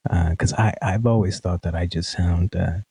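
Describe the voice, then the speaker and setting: Sleepy, gravelly low-voiced man. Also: moderate pace, no echo. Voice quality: sleepy, nasal. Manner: relaxed, many fillers and restarts. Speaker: guest, pedal demo creator. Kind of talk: casual interview.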